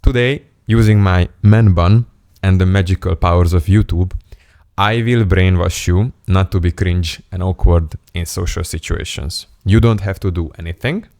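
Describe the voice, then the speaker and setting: A young man from Hungary speaks English in a very deep, low register. He talks to the camera in a playful, self-mocking and candid way, like a casual vlogger. The sound is dry and close, with no room echo. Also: moderate pace, a little mellow. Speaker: self-improvement YouTuber. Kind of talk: casual storytelling monologue to camera.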